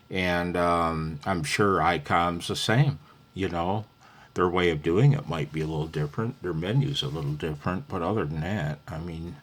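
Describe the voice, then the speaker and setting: A man's voice: low voice, fairly bright tone. A man giving a casual, unscripted hobby tutorial, rambling conversationally as he thinks aloud.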